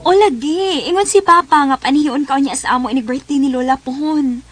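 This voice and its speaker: A very high-voiced young Filipina sweetheart, acted by a woman in a radio drama. She is affectionate, expressive and quick to tease. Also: faint music behind, lightly acted. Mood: earnest. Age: young adult. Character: affectionate young woman, excitable girlfriend and daughter. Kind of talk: radio drama acting in Cebuano.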